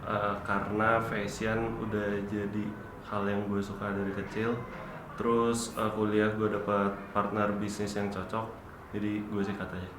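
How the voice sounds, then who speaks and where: Young guy, low monotone, chill casual answers, roomy echo. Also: measured pace. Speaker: interviewee, marketing head and bartender. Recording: echoey room, noisy background.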